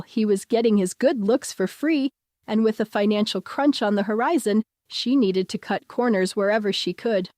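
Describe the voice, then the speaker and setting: A woman's voice: medium pitch, no echo, studio quality. A woman reading a scripted story as its narrator.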